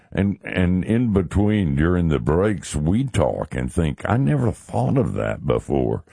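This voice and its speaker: A male talk radio host with an extremely deep, gravelly voice. He talks slowly and deliberately in a folksy, conversational way, his pitch moving freely for emphasis. The sound is close and dry. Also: dark tone, no echo.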